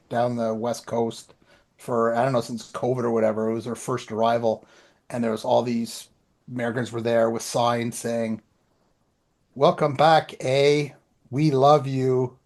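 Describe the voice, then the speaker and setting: A man's voice: mid-range voice, gravelly, darkish tone. A man telling anecdotes in a rambling, informal way as a podcast co-host. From Canada. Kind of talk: casual chat, anecdotes.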